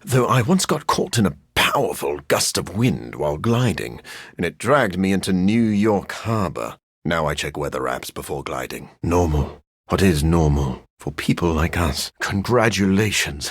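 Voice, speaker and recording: Give this game vampire a low, husky male voice. He is a brooding scientist with formal, articulate speech and dry wit. His pitch moves expressively, and the recording is close and dry. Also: a little slow, no echo, heavily acted. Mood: somber, reflective, then serious, formal. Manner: formal, articulate, dryly deadpan. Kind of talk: video game character acting.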